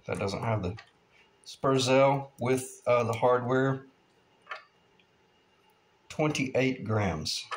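A man in his fifties giving a relaxed, rambling guitar-building tutorial at his workshop bench, with many "uh" fillers. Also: mid-range voice. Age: 50s.

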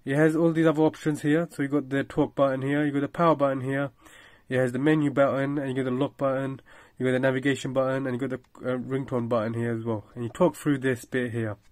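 A man's voice: medium pitch, flat delivery, fast talker, hardly any pauses, a little mellow, no room sound. A man giving a casual product review in a plain-spoken, slightly hesitant explaining manner.

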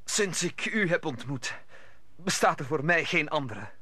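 A man's voice: a little high, lively intonation, unhurried, pushed and bright, dry recording, full character voice. An actor playing a bold, hot-headed young gallant swordsman in an old Dutch radio play. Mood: ardent.

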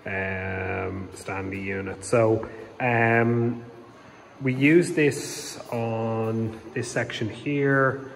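A man's voice: on the low side, creaky, slight background noise. A man in his thirties with an Irish accent, casually explaining a renovation job with frequent ums. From Ireland. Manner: relaxed, hesitant with frequent ums. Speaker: home renovation vlogger. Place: large empty room under renovation, indoors. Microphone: phone camera held in hand. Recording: reverberant bare room with faint ambience.

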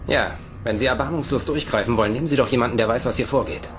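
An actor playing a defiant human captive who protects others, firm, in a sci-fi radio drama. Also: male voice, medium pitch, racing, heavy background noise, lightly acted. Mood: firm defiance. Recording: music behind the voice.

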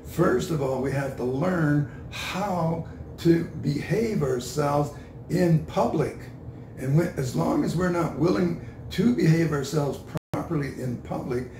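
An older man with a Canadian accent answering interview questions in a halting, deliberate way, often repeating himself. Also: fairly high pitch. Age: older, 60s or above. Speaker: street preacher being interviewed. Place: indoor room with a couch. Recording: roomy, distant mic, noisy.

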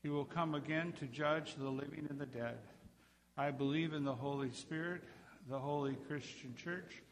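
In a long, ringing church reverb, a man leads worship as pastor. His voice sits a little high for a man, and he addresses a congregation. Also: fairly even intonation, moderate pace, a little hiss or hum. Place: large church sanctuary, indoors.

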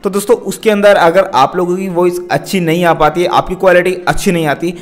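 A man's voice: high voice, steady tone, fairly quick. A young adult man gives instructional advice straight to viewers in a vlog style. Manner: instructional, addresses viewers repeatedly. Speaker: tech video creator and host. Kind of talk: direct-to-camera product advice vlog. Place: small decorated indoor room. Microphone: wired lavalier held in hand. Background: background music.

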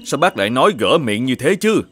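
A man playing an earnest village neighbour in an animated fairy tale, polite but quick to take offence. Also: mid-range voice, music in the background, lightly acted. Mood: offended.

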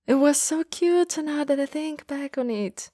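A woman acting a friend's warm, teasing goth older sister in an intimate, soft-spoken ASMR roleplay, sometimes slipping toward a whisper. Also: high voice, no room sound, studio quality. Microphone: close studio microphone.

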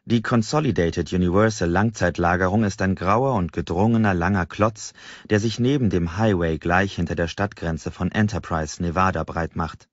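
Deep-voiced male audiobook narrator. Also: moderate pace, dry recording, dull audio. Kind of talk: scripted first-person novel narration.

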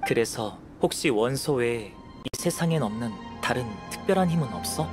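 An actor playing a calm, scholarly young alchemist in an anime game, composed, measured and gently detached. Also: male voice, mid-range voice, music in the background, close to an everyday voice. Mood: calm, curious.

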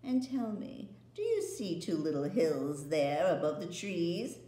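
A woman voicing a scheming, honeyed queen who coaxes with soft laughs, in a roomy-sounding recording. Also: fairly low pitch, animated. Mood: coaxing. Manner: coaxing, drawn-out, with soft laughs. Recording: roomy echo.

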